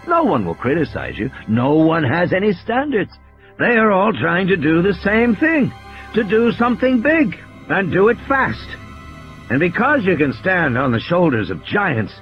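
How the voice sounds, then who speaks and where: Man playing an emphatic, scornful lecturing mathematician, very animated. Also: on the high side, lo-fi sound, voice pushed up. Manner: emphatic, didactic. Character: intense lecturing male mathematician, scornful of undisciplined science.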